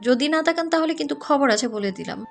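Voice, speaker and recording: A woman acting as a pert young college girl in an audio drama. Her voice is high, and she is nosy and questioning, with faint music behind her. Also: expressive, fairly quick, gravelly. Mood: pouting, bossy.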